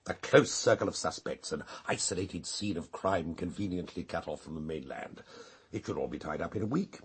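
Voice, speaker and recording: An actor playing a wry, cultured English gossip in a radio drama, with a raspy voice and an arch, drily amused manner. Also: male voice, low voice, lively intonation, moderate pace, heavily acted. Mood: wry musing. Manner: arch, drily amused, literary turns of phrase.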